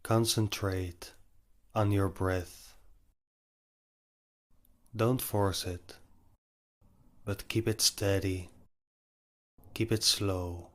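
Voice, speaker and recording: Very slow, low-voiced man guiding meditation. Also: fairly even intonation, a bit bright. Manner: measured, instructive, short phrases with long pauses. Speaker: meditation guide. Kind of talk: guided meditation.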